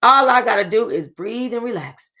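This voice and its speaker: A woman's voice: a little high, lively intonation, normal speed, pushed and bright. A young woman reads a novel aloud to her book club in an easy, casual way. She trips over words, goes back to reread them, and stops now and then to talk straight to her listeners. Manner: casual, chatty asides to listeners; stumbles and rereads lines. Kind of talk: reading a novel aloud with asides.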